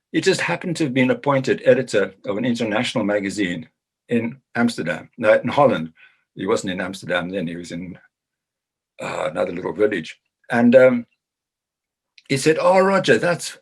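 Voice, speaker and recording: A man's voice: medium pitch, breathy edge. A man in his sixties with a South African accent, telling a rambling personal story with self-corrections. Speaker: speaker. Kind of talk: short personal talk.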